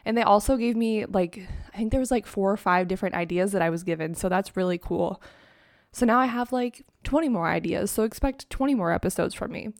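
A woman's voice: medium pitch, a little fast. A young woman hosting her own podcast in a chatty, upbeat way, peppered with "like", her voice still sleepy from the morning. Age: young adult. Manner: chatty, upbeat, heavy use of "like". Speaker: podcast host. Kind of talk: casual solo podcast talk. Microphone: own microphone and laptop.

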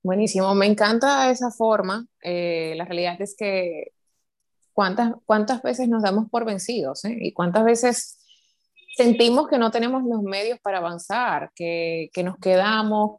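A woman's voice: medium pitch, a little mellow. Upbeat woman hosting a podcast. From Dominican Republic. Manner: upbeat, affirming. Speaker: podcast host, life coach.

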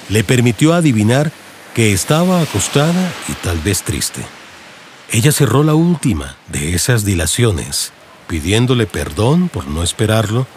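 A man with a Colombian accent narrating a scripted audiobook in radio-drama style. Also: fairly low pitch. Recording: faint background noise and ambience.

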